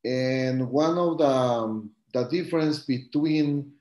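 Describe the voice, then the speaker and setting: A man from Guatemala, a coffee farmer, answering interview questions over a video call in halting English with many fillers. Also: mid-range voice, a little mellow, echoey room. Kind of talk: live-stream interview answers.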